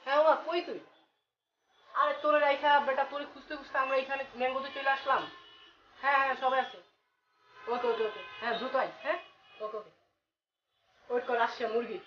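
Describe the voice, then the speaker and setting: A man's voice: very high voice, fairly even intonation, brisk, pauses often. A man with a Bangladeshi accent playing a breezy, scheming young student ringleader in a web drama. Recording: echoey, dull, distant, noisy location sound.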